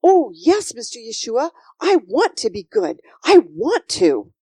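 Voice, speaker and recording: Woman voicing an eager, high-voiced little girl. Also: huge pitch swings, a little slow, warm tone, slightly rough, no room sound, full character voice. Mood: eager, hopeful. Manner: breathless, earnest, polite. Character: eager, earnest little girl, shy and awed. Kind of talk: children's storybook character voice.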